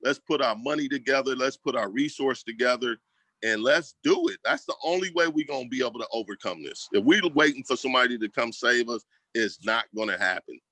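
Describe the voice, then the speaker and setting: Casting: American man, community advocate, bright punchy voice, dry close sound, casual but emphatic interview talk. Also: mid-range voice, fairly quick, dry recording. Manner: emphatic, colloquial, repeats phrases for emphasis. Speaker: school district equity staff member and community advocate.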